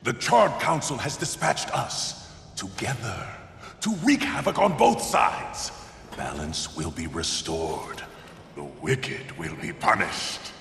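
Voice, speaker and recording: An actor playing a grim, proud male horseman of the apocalypse in a video game, gravelly and solemnly declamatory. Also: fairly low pitch, sing-song, takes it slowly, a bit bright, full character voice. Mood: grim, resolute. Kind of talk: video game cutscene acting. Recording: echoey hall reverb, faint noise.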